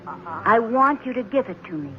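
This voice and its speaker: Eerie orphan boy for 1970s radio drama, played by a woman: earnest, deliberate, childlike; dull, noisy vintage recording. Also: on the high side, lively intonation, natural voice. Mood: intense, insistent. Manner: simple, deliberate, childlike phrasing.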